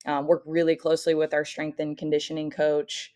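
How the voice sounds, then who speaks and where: A young American woman raised in the Midwest, a softball coach talking casually and cheerfully as a podcast guest, with frequent "um" fillers. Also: sits low, completely flat delivery, brisk. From United States (grew up in St. Louis, Missouri). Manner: friendly, upbeat, frequent "um" fillers. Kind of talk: casual interview chat.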